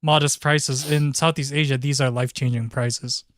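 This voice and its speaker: A man hosting a casual stream chat, easygoing and quick to laugh. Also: mid-range voice, fairly even intonation, a bit bright, no echo, clean studio sound. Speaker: streamer host.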